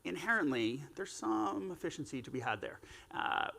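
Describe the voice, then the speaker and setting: A man with a gravelly, fry-tinged voice talks on a conference stage as a panel guest. He keeps it relaxed and conversational, filling gaps with "uh"s and slipping in self-deprecating asides. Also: fairly high pitch, normal speed. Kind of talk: casual panel talk.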